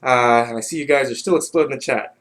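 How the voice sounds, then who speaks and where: A man hosting a livestream in casual chat, halting and often repeating his words. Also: mid-range voice, a bit bright. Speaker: livestream host.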